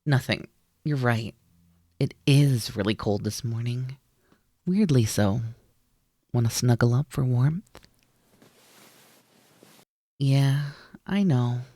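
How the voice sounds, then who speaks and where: Unhurried, teasing snake-demon roommate, acted out by an asmr performer, playful and bossy, really dry close sound. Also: medium pitch, lively intonation, dark tone, no echo. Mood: teasing, coaxing. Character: mischievous, teasing naga snake-demon roommate, coaxing and dominant. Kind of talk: ASMR roleplay.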